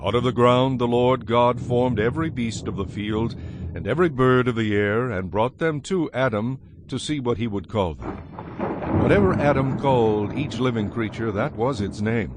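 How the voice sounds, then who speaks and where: A man narrating dramatized scripture in a solemn, measured manner. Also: mid-range voice, background noise. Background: music.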